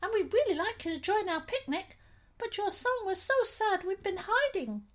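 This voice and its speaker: A woman voicing the tiniest teddy bear in a squeaky but gruff voice. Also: very animated, normal speed. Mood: eager.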